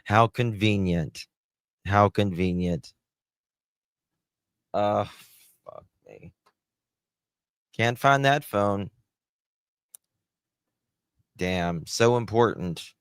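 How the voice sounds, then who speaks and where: A man hosts a casual live stream in a low voice. He takes his time, musing conversationally as he reacts, and the sound is dry and close. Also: extremely slow, pauses often, clean tone, dry recording. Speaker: host reacting to recordings and reading live comments.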